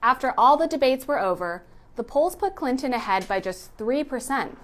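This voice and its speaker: A woman's voice: sits high, big pitch swings, moderate pace. A woman reading scripted narration for a news explainer.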